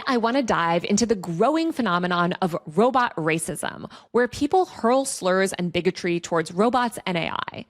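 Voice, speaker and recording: A woman presents scripted commentary for an online video. Her voice sits at a medium pitch. She explains things as a host would, in a narrated essay style.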